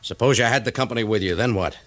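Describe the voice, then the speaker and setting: A man's voice: lowish voice, quick pace, loud music behind the voice, close to an everyday voice. A man playing an exasperated, formal army major in an old-time radio western. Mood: demanding.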